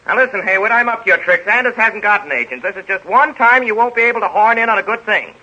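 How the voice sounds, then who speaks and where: An actor playing a blustery, scatterbrained Hollywood studio boss in a 1930s radio comedy, bossy, stammering and excitable. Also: male voice, sits high, fast, heavily acted. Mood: agitated, haggling. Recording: dull vintage 1930s radio recording.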